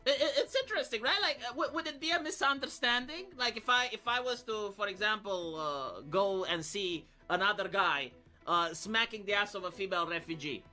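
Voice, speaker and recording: A man's voice: high voice, lively intonation, brisk, sharp tone. A man giving casual, off-the-cuff reaction commentary as a streamer, argumentative and sardonic, often throwing out rhetorical questions. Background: music behind the voice.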